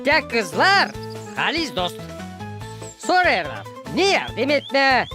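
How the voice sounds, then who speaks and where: An animated, unhurried voice for a comical animal in a children's cartoon. It teases and exclaims with wide swings of pitch. Faint music sits behind it. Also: female voice, full character voice. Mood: comic complaining.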